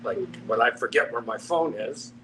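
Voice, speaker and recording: A man thinking aloud in a measured, reflective way during a panel discussion. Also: medium pitch. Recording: distant mic with clear background noise.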